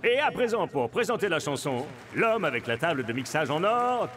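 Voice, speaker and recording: A man's voice: on the high side, very animated, moderate pace, noisy background. A man voicing a showy, theatrical announcer in a cartoon. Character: showy male announcer hosting a gala. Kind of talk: animated cartoon show announcing. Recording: music behind.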